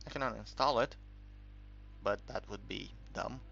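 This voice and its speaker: A man giving casual, unscripted game commentary in a halting, rambling way, as if thinking aloud while he explains things. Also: on the low side, creaky, fairly bright tone, slight background noise. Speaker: gaming video creator narrating a tutorial. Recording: dull lo-fi home recording, music behind.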